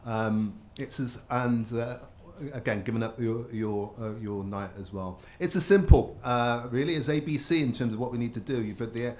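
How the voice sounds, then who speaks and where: A male host wraps up a public evening with thanks to the audience. His delivery is halting, full of fillers and repeated words, and his voice sits at an ordinary pitch. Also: darkish tone. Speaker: event host. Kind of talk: closing remarks and thanks at a public event.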